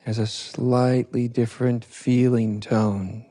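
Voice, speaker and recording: A man guiding a meditation as a teacher, speaking in soft instruction with halting short phrases and long pauses. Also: medium pitch, level tone. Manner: unhurried, halting, pausing between short phrases. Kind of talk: guided meditation, softly spoken instruction.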